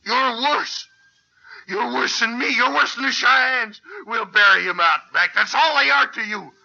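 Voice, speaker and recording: An actor playing a bitter, defiant outlaw prisoner in a 1950s radio western, with a rural American twang and a taunting, emotional manner. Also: male voice, very high voice, a little slow, vocal fry, sharp tone, heavily acted. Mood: furious. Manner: taunting, emotional, rustic speech. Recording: dull vintage 1950s radio recording.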